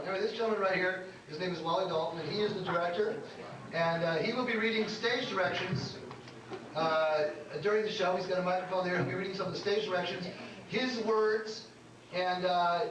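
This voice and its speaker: A man's voice: high-pitched, noisy background. A middle-aged man hosting a comedy show, rambling and joking as he introduces it informally to a live audience. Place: comedy club stage. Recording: echoey club recording from far off. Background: audience responses.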